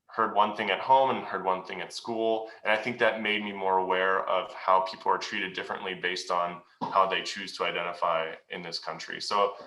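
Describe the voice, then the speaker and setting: Low-ish bright guy, earnest and thoughtful, lots of pauses, roomy echo. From United States. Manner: reflective, earnest, halting with many pauses. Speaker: guest speaker. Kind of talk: answering questions at a meeting.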